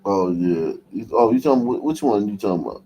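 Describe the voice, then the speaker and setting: A man's voice: on the low side, animated, a little fast, mellow. A man hosting a casual livestream news commentary, talking bluntly with profanity and street slang. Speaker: show host. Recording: noticeable background noise.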